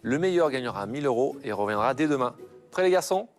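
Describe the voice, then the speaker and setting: A man's voice: medium pitch, lively intonation, fast. A man hosting a TV cooking show with playful, teasing banter. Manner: jokey, teasing. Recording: music behind the voice. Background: background music.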